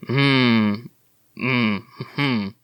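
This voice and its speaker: Bright-voiced man, slow and laughing. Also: medium pitch, clean tone, dry recording. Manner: laughs often, hesitant with fillers. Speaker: player guest. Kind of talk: actual-play tabletop game talk.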